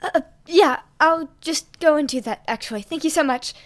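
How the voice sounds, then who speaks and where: A woman's voice: sits high, normal speed, pitched above the performer's own voice, lightly acted. A woman voicing a nervous, polite teenage boy with a flustered, hesitant manner in a story reading.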